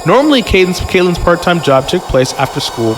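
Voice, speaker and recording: Higher-pitched man reading aloud in long reverb. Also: sing-song, brisk. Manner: stumbles over the text and breaks off to comment. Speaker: podcast host reading a story aloud. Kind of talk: casual read-aloud with joking banter. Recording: long reverb, music underneath. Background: music.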